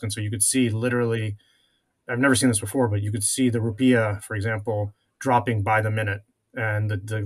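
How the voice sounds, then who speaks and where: A middle-aged man born in France, a venture investor, telling his career story in a measured way with many filler pauses. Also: fairly low pitch, fairly bright tone. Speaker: guest, venture capital investor. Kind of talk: conversational interview answers.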